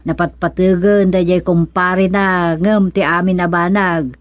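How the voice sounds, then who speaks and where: A woman's voice: fairly low pitch, little pitch movement, measured pace, dry recording, close to an everyday voice. A woman acting in a Filipino radio serial as a devout, patient but vexed older aunt, with a Filipino accent and a sighing, admonishing manner.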